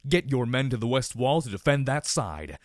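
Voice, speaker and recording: A man voicing a quiet, serious, dutiful female army captain in a storybook reading. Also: mid-range voice, sing-song, moderate pace, no echo, studio quality, natural voice. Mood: urgent, serious.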